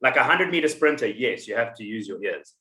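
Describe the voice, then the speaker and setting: A man's voice: medium pitch, sing-song, a little fast, bright, forceful tone, echoey room. A man hosting a podcast in casual chat, rambling and telling stories with many restarts. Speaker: podcast host.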